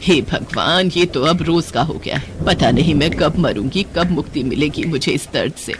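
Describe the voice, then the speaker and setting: A woman's voice: low-pitched, expressive, normal speed, background noise, pitched below the performer's own voice. A woman voicing a despairing, bitter elderly village mother-in-law who laments mournfully in a story.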